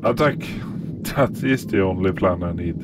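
A man acting a solemn, bloodthirsty warrior, loud background noise. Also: low-pitched, normal speed. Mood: menacing, proud. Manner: grandiose, deadpan. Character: bloodthirsty, solemn warrior swordsman, proud and blunt.

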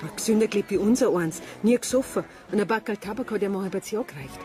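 A woman's voice: mid-range voice, fast talker, mellow, close to an everyday voice. Woman acting a worried Bavarian farm helper, music behind. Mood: troubled. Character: worried farm helper woman, plain-spoken, sympathetic villager. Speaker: actor in a radio crime drama. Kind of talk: naturalistic radio drama dialogue.

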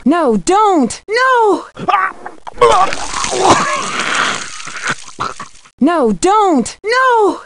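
A woman playing a curious, polite young female detective in a video game, with earnest, clearly enunciated scripted delivery. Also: a little high, dry recording. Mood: alarmed. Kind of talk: scripted game voice lines and letter narration.

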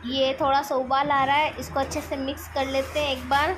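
A woman's voice: high voice, level tone, a little fast. A woman with a sore, scratchy throat, chatting through a cooking demonstration in her home kitchen and explaining each step. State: sore throat, clearing it often. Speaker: cooking vlogger. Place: home kitchen at a gas stove.

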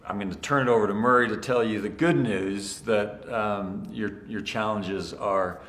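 A middle-aged man with a fairly low voice speaks upbeat thanks and congratulations as a company executive. He stands before a camera in a large, bright office, and the room adds a noticeable echo. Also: steady tone, moderate pace, slight background noise. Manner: upbeat and congratulatory, some fillers. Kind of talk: scripted-free video address to sales leaders. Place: large bright office with tall windows, indoors. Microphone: standing before a fixed camera, two-shot. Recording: echoey room.

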